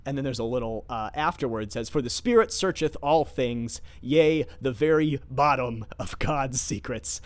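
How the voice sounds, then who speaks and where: A man's voice: medium pitch, moderate pace, hardly any pauses, clear, resonant tone. A man speaking as a theatre director in an informal, scholarly talk, enthusiastic but often searching for words as he explains. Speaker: theatre director discussing his production.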